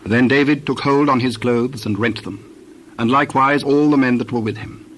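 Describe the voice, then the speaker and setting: A man's voice: mid-range voice, normal speed, fairly bright tone, a little hiss or hum, rough recording. A man reading scripted Bible narration on an old cassette recording.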